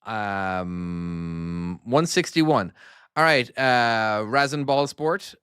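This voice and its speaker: A man's voice: on the low side, animated, extremely slow, fairly bright tone, clear, resonant tone, dry recording, studio quality. An Irish man who makes trucking games, chatting casually and self-deprecatingly on a live stream while playing and answering chat. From Ireland. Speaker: game developer streaming his own game.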